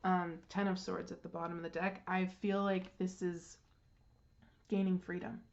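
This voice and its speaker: A woman with a congested throat gives a casual tarot reading, unhurried, clearing her throat and pausing on frequent ums. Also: fairly low pitch, flat delivery, lo-fi sound. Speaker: tarot reader.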